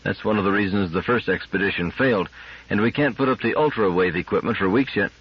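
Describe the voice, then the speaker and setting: A man's voice: fairly low pitch, fast, husky, dull audio. A man voicing an agitated, bitter male field engineer in a story reading, with exasperated, emphatic delivery. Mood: worried.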